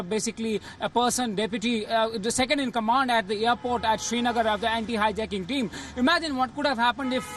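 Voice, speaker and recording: Male field reporter, Indian accent, high voice, hesitant live delivery, slight outdoor background noise. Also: a bit bright. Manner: hesitant, halting with repeated filler phrases. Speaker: news correspondent. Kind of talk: unscripted live news report.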